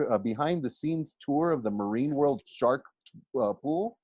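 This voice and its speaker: A man reads a first-person story aloud in an expressive, conversational way, with dramatic emphasis and pauses. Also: mid-range voice. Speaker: teacher reading a novel aloud for a class. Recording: roomy, slightly dull home recording.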